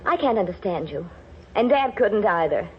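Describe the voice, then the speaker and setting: An actress playing an earnest young woman in a 1940s radio melodrama, pleading in a halting, emotional way. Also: mid-range voice, big pitch swings, slightly rough. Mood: dismayed, reproachful. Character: earnest, distraught young female cattle rancher, pleading. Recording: muffled old radio broadcast, vehicle noise.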